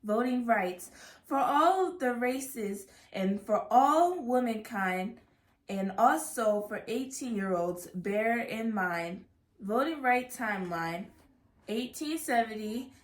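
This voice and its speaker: Higher-pitched young American woman, echoey. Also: expressive, slow pace. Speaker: student intern and co-reader. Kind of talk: children's book read-aloud. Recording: echoey, roomy sound.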